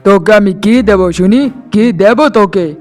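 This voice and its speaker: A man voicing a village gentleman in an animated moral tale. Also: high-pitched, a little fast, soft background music, lightly acted. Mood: angry, scornful. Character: men of a landlord tale: messenger and proud sons.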